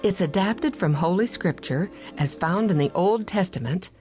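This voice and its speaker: Lowish-voiced woman host, faint music. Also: animated, normal speed, a little mellow. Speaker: program host. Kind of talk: scripted introduction for a children's story program.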